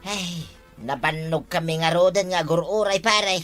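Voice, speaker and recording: A man's voice: high-pitched, a little slow, a bit bright, soft background music. A man with an Ilocano accent playing a grumbling, wheedling old villager in a broad comedy. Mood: complaining. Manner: complaining, insistent. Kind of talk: broad barangay comedy acting.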